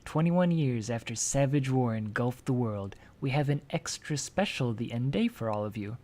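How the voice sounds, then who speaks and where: A man's voice: mid-range voice, normal speed. A man hosting a video that compares game translations, reading lines of game text aloud and breaking off to comment. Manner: lively, jokey, breaks off to comment with sudden excitement.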